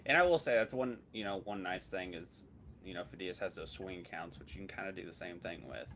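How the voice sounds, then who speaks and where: A man's voice: sits low, expressive, brisk, fairly bright tone, slight background noise. A man hosting a live trading stream, chatting casually and teasing his guest. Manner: laid-back, jokey, teasing. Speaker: host. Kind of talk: casual interview chat.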